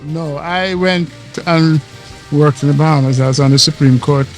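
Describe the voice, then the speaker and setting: A man's voice: fairly high pitch, moderate pace, faint music behind. An older man from Jamaica, a visiting delegate, giving brief, matter-of-fact answers in casual chat.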